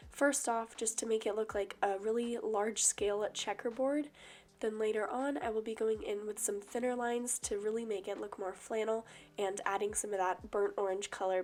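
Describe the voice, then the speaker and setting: A young woman with a hoarse, croaky voice from illness, calmly explaining each step of her drawing in a voice-over. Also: fairly high pitch. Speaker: bullet journal vlogger. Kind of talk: voice-over narration of a drawing tutorial.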